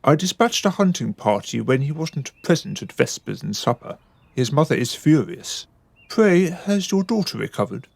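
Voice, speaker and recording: A worried, unbending noble father from a period radio play, acted by a man. His slightly high voice crackles with fry, and his phrasing is stiff and old-fashioned. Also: big pitch swings, normal speed, no room sound, heavily acted. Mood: grave, firm. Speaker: actor in a radio drama. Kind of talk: theatrical period radio drama acting.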